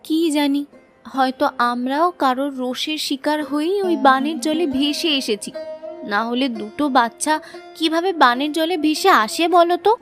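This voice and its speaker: A woman's voice: high voice, moderate pace, fairly bright tone, soft background music. A woman voicing an earnest, caring young granddaughter in a cartoon. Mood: pensive. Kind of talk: cartoon voice acting.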